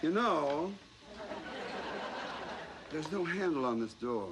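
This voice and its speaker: Wry comic, very noisy soundtrack. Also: male voice, a little high, lively intonation, takes it slowly.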